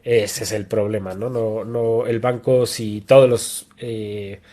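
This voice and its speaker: A man's voice: lowish voice, flat delivery, takes it slowly, dark tone. A man co-hosting a finance podcast in casual discussion, explaining step by step and closing his points with a questioning tag. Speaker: podcast co-host.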